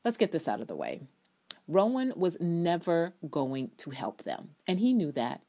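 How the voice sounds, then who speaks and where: A woman's voice: on the low side, wildly swooping pitch, moderate pace, mellow. A woman giving an emphatic, theatrical reading of an opinion essay, with sardonic ad libs. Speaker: TV drama blogger reading own review aloud.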